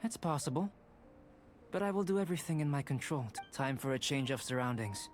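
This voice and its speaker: A man voicing a young alchemist scholar in a video game, measured, formal, curious and detached. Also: fairly high pitch, animated, heavy background noise, lightly acted. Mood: intrigued. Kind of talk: video game character dialogue. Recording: music behind the voice.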